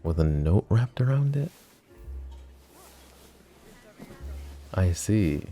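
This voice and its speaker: Casting: a man to play a scheming, possessive yandere mafia boss for ASMR roleplay; dark, relaxed low voice, smooth and teasing. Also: a little slow, soft background music. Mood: cool politeness. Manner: smooth, teasing, mock-polite. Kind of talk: intimate ASMR roleplay acting.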